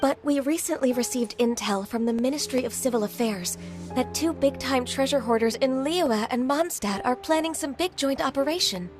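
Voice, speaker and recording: A woman voices a dutiful young secretary in an anime game. Her manner is gentle and polite, with careful phrasing in a higher-than-usual female register. Music is clearly audible underneath. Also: moderate pace, natural voice. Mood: earnest.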